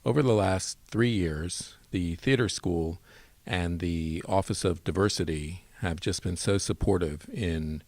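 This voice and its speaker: A man's voice: low voice, measured pace, darkish tone, no room sound. A man speaking as a theater director, explaining his work in an interview. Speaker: play director being interviewed.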